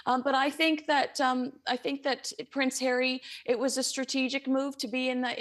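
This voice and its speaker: An American woman speaking as a royal commentator in a live television news interview. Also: fairly high pitch. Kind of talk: live TV punditry.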